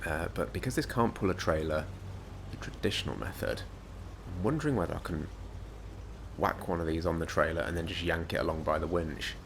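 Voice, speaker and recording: A man with a slightly croaky voice, giving rambling, self-mocking gameplay commentary. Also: low voice, fairly quick, fairly bright tone, slight background noise. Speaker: gaming YouTuber.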